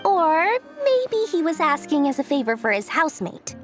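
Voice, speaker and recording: High swoopy game merchant girl, shrewd n cheerful, theatrical, music behind. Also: big pitch swings, normal speed. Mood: explaining, brisk. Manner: boastful, theatrical. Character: scheming, cheerful young female merchant, small and shrewd. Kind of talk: animated video game acting.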